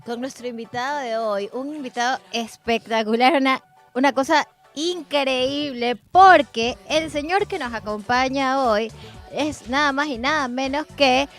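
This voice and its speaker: High-pitched woman hosting casually. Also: unhurried, a bit bright, soft background music. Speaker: show host. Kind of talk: casual chat show hosting.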